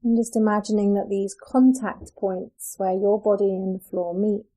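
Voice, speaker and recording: A woman guiding a yoga nidra relaxation as a meditation teacher, speaking in a soothing, gentle, unhurried way with pauses. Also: mid-range voice, steady tone, poor audio.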